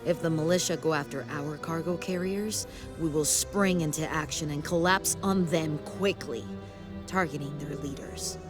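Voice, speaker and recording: Actress as a stern, low-voiced female military commander. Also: moderate pace, music in the background, close to an everyday voice. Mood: grave, resolute. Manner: formal, clipped military briefing delivery. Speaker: military commander giving a briefing. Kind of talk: video game cutscene acting.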